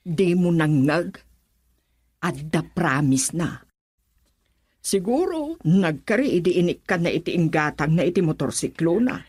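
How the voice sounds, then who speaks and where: A woman's voice: lowish voice, big pitch swings, unhurried, darkish tone, husky, no echo, clean studio sound, heavily acted. A woman acting a watchful, questioning middle-aged mother in a radio drama, with a Filipino accent.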